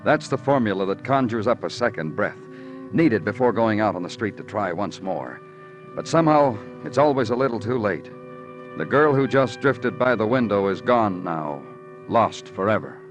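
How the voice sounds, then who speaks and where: A world-weary male detective, played by a radio actor speaks in a fairly deep voice with very varied intonation. His delivery is deliberate and brooding, in classic crime drama style. Music plays behind him. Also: animated, lightly acted. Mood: brooding, wistful. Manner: poetic, deliberate, terse in dialogue. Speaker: lead actor and narrator. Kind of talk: 1940s-50s radio crime drama narration and acting.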